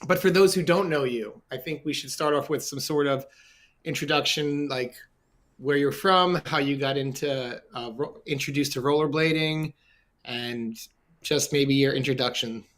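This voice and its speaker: A man's voice: mid-range voice, normal speed, fairly bright tone. A man hosting a podcast, asking friendly, meandering questions packed with "like". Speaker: podcast host. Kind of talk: casual interview.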